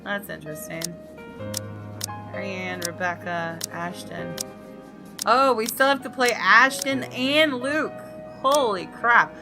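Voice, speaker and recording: Expressive woman playing a visual novel, music underneath. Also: medium pitch, slow pace. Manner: expressive, reacts aloud to the story. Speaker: gamer doing a visual novel let's play. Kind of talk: reading game text aloud with casual reactions.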